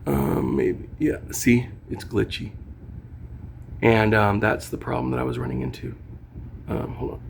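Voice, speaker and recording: A man's voice: mid-range voice, fairly even intonation, a little slow, vocal fry, long pauses, noisy background. A man chatting informally and ramblingly to camera, with slangy, sweary asides as he shows things off. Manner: informal, swearing, slangy, talking as they demonstrate gear. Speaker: vlogger and amateur songwriter. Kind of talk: casual rambling chat to camera.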